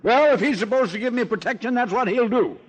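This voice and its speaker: An older American man acting in a vintage radio drama, playing a gruff, wealthy, proud and secretive oil tycoon with a blunt, commanding manner. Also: very high-pitched, quick pace. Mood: irritated.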